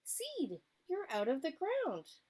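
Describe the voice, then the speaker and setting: A woman's voice: very high voice, huge pitch swings, slow pace, a little mellow, voice pushed up, exaggerated voice. A woman voicing a hungry, scruffy male raccoon in a children's storybook, pondering and eager. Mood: excited.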